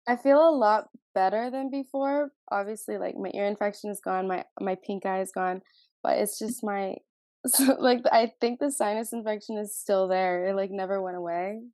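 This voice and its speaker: A woman's voice: mid-range voice, normal speed, darkish tone, no room sound. A woman in her twenties, co-hosting a podcast, with a stuffy, congested sound and a sore throat. She laughs often between phrases. The recording is dry and close, with no room sound. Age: 20s. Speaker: podcast co-host. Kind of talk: casual chat.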